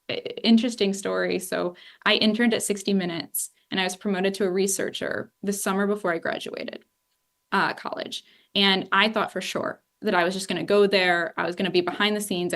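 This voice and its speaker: Young woman from ohio talking shop at a campus event, candid, chatty, mid pitch, lots of "you know". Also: moderate pace. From Northeast Ohio. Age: 20s. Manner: candid, animated, frequent "you know" and "I love". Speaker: political journalist. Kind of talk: public talk answering questions. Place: university lecture room.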